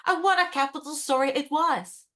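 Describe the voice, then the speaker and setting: A bold young heroine, voiced by a woman, brisk and teasing with a crisp, bright tone that rides a little high. She sounds confident and competitive, every line pointed in this radio play.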